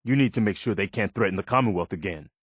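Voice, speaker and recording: An earnest militia officer for a video game. His voice sits fairly low and is recorded dry and close. He speaks sincerely and plainly, as a loyal, principled companion with firm morals. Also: fast talker, slightly rough, no room sound. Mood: disappointed, stern.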